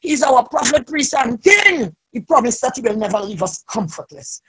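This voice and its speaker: High, husky woman praying fervently. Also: big pitch swings, moderate pace. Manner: pleading, repetitive invocations, swelling from quiet to shouted cries. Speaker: church member leading prayer. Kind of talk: fervent extemporaneous prayer.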